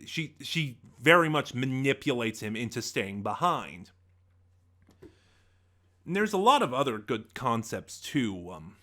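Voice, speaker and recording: A man reviews in a casual, conversational way, thinking aloud and sharing his opinions. His voice is bright and forward, with lively swings in pitch. It sits at a middling height for a man. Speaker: reviewer, host of a review channel. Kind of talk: casual spoken audio drama review.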